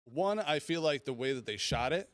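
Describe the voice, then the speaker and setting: A man's voice: fairly high pitch, expressive, moderate pace, no room sound. Casual male podcast host, close dry sound. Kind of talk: casual movie debate chat.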